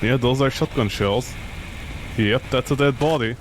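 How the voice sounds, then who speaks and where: A man's voice: medium pitch, normal speed, background noise. A man doing live game commentary, talking to himself in a sarcastic, exclamatory way. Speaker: gaming streamer.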